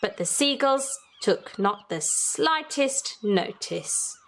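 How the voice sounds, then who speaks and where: Female storybook reader, higher-pitched voice, very unhurried and teacherly, light music in the mix. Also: lively intonation, a bit bright. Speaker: children's story-time host and reader. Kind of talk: read-aloud storybook narration with questions to young listeners.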